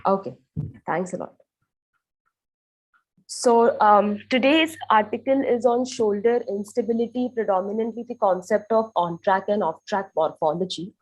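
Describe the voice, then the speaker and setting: A woman's voice: medium pitch, normal speed, rough recording. Young Indian woman with a sore throat. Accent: Indian English. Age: young adult. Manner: explanatory, with "um" and "you know" fillers. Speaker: guest radiologist presenting. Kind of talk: medical presentation. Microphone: video call.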